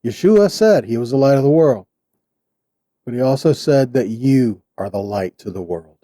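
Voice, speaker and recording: A man giving sermon-style Bible teaching in short, deliberate phrases with an earnest, exhorting manner. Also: mid-range voice, lively intonation, dark tone, dry recording, studio quality.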